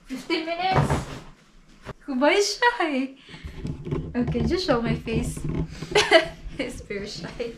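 A woman's voice: high-pitched, expressive, slow, long pauses. A young woman from the Philippines, Filipino her first language, chatting playfully and giggling in a casual home vlog. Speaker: vlogger. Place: small home living room and bathroom, indoors. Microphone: handheld camera at arm's length. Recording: noisy home camera audio.

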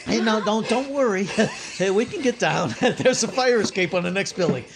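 A man's voice: high voice, lively intonation. An actor playing a bumbling, stammering young man bluffing his way through a date in 1950s radio comedy, on a noisy recording. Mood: bluffing, urgent. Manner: stammering, hurried, falsely confident.

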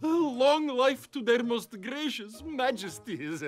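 A man playing a fawning, long-winded schemer in a cartoon, flattering royalty in flowery, obsequious phrases. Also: very high-pitched, slow pace, soft background music, heavily acted. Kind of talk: animated cartoon voice acting.

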